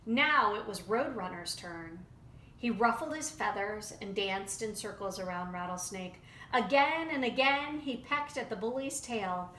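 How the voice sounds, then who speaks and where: A woman storyteller narrating a folktale in an expressive, dramatic and deliberate way. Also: medium pitch. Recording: roomy echo, faint background noise.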